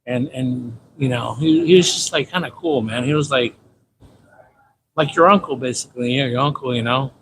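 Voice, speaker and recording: A man's voice: mid-range voice, moderate pace, a bit bright. A man in his fifties with a Californian American accent, chatting casually on a livestream in a loose, slangy, repetitive way. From San Diego, California, United States. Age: 50s. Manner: repeats himself, loose slangy storytelling. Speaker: livestream host. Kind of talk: casual rambling livestream chat. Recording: clearly noisy ambient livestream audio.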